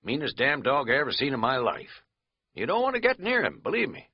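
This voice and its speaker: A man voicing a blunt, folksy rural innkeeper who tells tall tales in a raised, loud voice. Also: mid-range voice, huge pitch swings, brisk, slightly rough, dry recording, lo-fi sound, voice pushed up, full character voice. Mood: gossipy.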